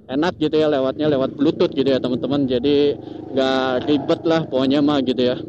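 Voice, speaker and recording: A man's voice: medium pitch, fairly even intonation, fairly quick. A man, chattily telling a story to viewers in a casual motovlog, with repeated tag phrases. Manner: chatty, repetitive tag phrases, chuckles often. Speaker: motovlogger host. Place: outdoors, riding a motorcycle in traffic. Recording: muffled, loud vehicle and road noise.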